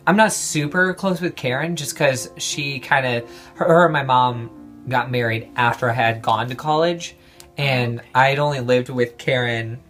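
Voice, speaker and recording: A man's voice: medium pitch, a bit bright. Hesitant young man in an interview. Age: 20s. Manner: hesitant, many ums and restarts. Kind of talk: casual personal interview.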